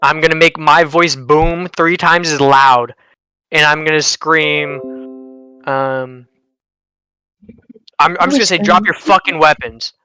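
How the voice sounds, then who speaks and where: A man playing a tabletop role-playing game, chatting casually with plenty of swearing, insistent and excitable. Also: on the high side, takes it slowly, pauses often, faint music behind, low-quality recording. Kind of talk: casual, profane game chat.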